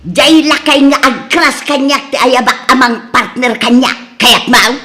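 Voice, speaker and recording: Woman playing a high-voiced, pushy Ilocano mother. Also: unhurried, sharp tone, soft background music. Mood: pushy, wheedling. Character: greedy, brazen nagging Ilocano mother in comedy. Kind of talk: comedy radio-style drama acting.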